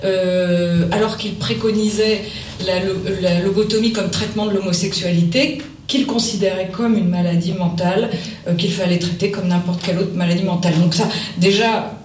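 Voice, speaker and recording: A woman's voice: medium pitch, steady tone, normal speed, a little mellow. A woman author answering interview questions, speaking hesitantly with many fillers. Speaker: author guest. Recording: roomy and distant with faint noise.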